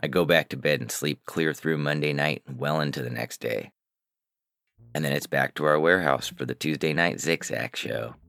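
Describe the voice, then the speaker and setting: A man as a very deep, gravelly, weary narrator. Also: normal speed, no room sound, clean studio sound, full character voice. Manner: terse, deadpan, sardonic. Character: weary, paranoid male hacker narrator, sardonic and gloomy. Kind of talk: first-person science fiction audiobook narration.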